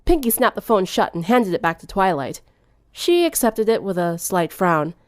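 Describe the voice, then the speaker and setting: A woman's voice: mid-range voice, very animated, moderate pace, dry recording, studio quality. A woman reading fan fiction aloud as scripted third-person narration.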